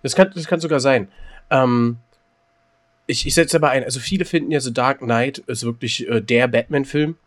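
A man's voice: medium pitch, moderate pace. A man co-hosting a podcast in casual chat, hesitant and repeating words as he gives opinions. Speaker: podcast co-host.

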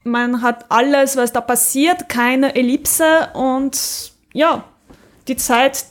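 A woman's voice: sits high, slow. A woman co-hosting a podcast, chatting casually and conversationally, with an easy laugh, as she gives recommendations. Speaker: podcast co-host. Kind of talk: casual chat, recommending audio dramas.

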